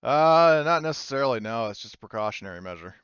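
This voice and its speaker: A man casually narrating a tabletop roleplaying game as game master, improvising and thinking aloud in a halting way. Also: medium pitch, lively intonation, fairly bright tone, clear, resonant tone, dry recording, highs cut off.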